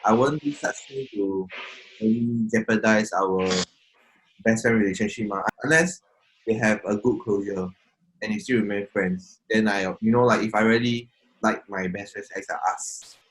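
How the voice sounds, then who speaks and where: A man's voice: lowish voice, moderate pace, low-quality recording. A man in his twenties with a Singaporean English accent, chatting casually with many fillers. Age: 20s. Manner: hesitant, many fillers and restarts. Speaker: panel guest. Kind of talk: casual group chat.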